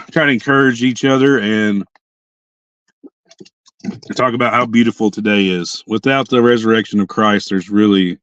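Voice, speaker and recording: Hoarse young man chatting from a car. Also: lowish voice, no room sound, dull audio. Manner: rambling, restarts often. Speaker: livestream host. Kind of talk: casual livestream chat. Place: sitting in a parked car.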